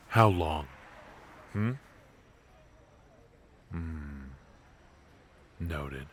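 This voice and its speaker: A man playing a ruthless, teasing mafia boss in an intimate noir ASMR audio drama, speaking with smug, probing calm and musing pauses. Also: low-pitched, slight background noise.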